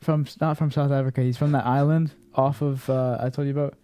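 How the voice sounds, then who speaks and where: Host: young man, mellow tone, dry sound, teasing banter. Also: medium pitch, level tone, quick pace, no room sound. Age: young adult. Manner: teasing, joking questions. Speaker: podcast host. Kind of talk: casual podcast chat.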